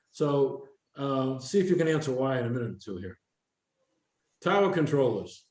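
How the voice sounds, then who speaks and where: An older man with a slightly high voice speaks in a roomy, echoing space. He presents like an informal instructor, explaining step by step and quizzing his listeners as he goes. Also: darkish tone. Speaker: presenter, retired air traffic controller. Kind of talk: career workshop presentation, explaining with questions to the audience. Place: indoor room with a live audience.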